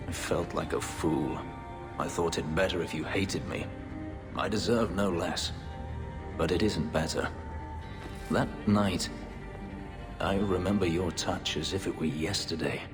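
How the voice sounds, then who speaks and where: An actor playing a brooding, bitter elf warrior who was once a slave, speaking in a grave, measured way. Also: male voice. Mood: tender. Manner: low, grave, measured delivery. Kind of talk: video game voice acting. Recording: long reverb under game music.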